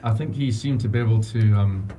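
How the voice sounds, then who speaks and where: A man's voice: lowish voice, fairly quick. A man being interviewed as a radio guest, speaking hesitantly and modestly, with a lot of "um"s. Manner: hesitant, modest, laughs easily. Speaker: radio guest and upcoming presenter. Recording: distant mic with faint background noise.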